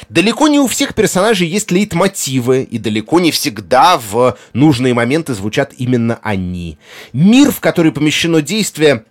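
A man hosting a podcast, reading a scripted essay in an articulate, emphatic way. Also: mid-range voice. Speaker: podcast host.

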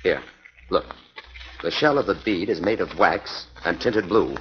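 An actor playing a confident, gently teasing detective hero in a 1940s radio drama. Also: male voice, sits low, lively intonation, unhurried, slight background noise. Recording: muffled 1940s radio recording.

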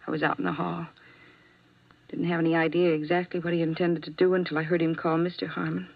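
An actress playing a young nurse who confesses to a killing in a 1950s radio drama, speaking quietly, with some words whispered. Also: female voice, fairly low pitch, level tone, quick pace, gravelly. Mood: confessing. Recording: dull old radio transcription.

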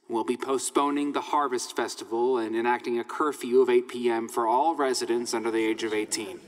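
A man playing an authoritative small-town sheriff in an audio drama, making a firm, measured, folksy announcement. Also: medium pitch, close to an everyday voice. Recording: reverberant large room.

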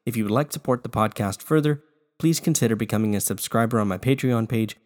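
A man hosting a prayer podcast, still congested after losing his voice, who moves from quick announcements to a slow, measured litany. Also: fairly low pitch. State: congested, recovering from lost voice. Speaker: podcast host. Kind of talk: podcast talk and prayer recitation.

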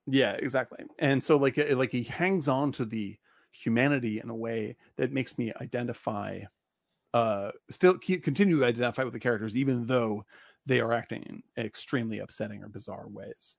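A man with a gravelly, fry-tinged voice chats about a movie in a rambling, animated way. The sound is dry and close, with no room around it. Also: medium pitch, brisk, dry recording. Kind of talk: casual film discussion.

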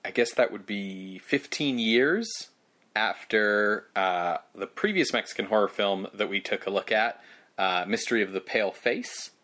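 A man's voice: on the low side, animated, measured pace, pushed and bright. A man co-hosting a casual podcast, talking in an easygoing way with frequent hesitant fillers. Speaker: podcast co-host.

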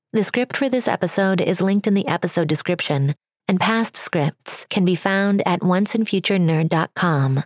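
A woman's voice: on the low side, no room sound, studio quality. A woman reading a scripted advisory announcement for a podcast. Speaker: announcer of content warning.

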